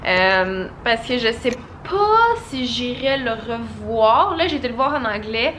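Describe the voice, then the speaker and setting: A young woman with a Québécois French accent, chatting casually to camera as a vlogger and giving a relaxed film review. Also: a little high, unhurried, a little hiss or hum. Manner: chatty and animated, full of casual fillers.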